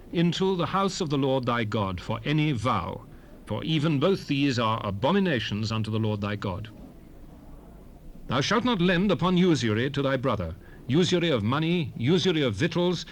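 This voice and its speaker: A man's voice: medium pitch, lightly acted. Solemn lawgiver played by a male actor for Bible drama: declamatory, wide-ranging intonation, faintly hissy old cassette sound. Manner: declamatory, deliberate, archaic diction. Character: solemn lawgiver proclaiming ancient commandments. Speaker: narrator reading scripture. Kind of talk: dramatized King James Bible reading. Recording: hissy 1978 cassette rip.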